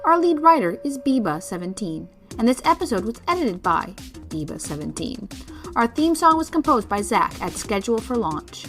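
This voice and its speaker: Animated high-voiced woman announcer. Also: moderate pace. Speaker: show announcer. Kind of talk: scripted podcast credits. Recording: music behind the voice.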